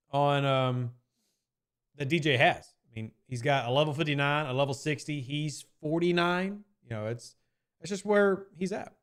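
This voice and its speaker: A man streaming live and answering chat questions in a casual, rambling way, often hedging with "I mean" and repeating his points. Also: on the high side.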